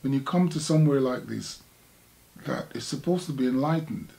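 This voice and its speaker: An older man from Jamaica with a Jamaican accent, an artist recalling his past in a halting, reflective conversation. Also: mid-range voice, vocal fry, dark tone. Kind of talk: casual recollection in conversation.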